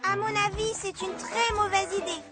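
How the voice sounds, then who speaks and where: A woman's voice: extremely high pitch, fairly even intonation, normal speed, loud music behind the voice. A woman voicing a sceptical, sensible young babysitter in a cartoon dub, wry in manner. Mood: worried, doubtful. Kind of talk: animated cartoon dub acting.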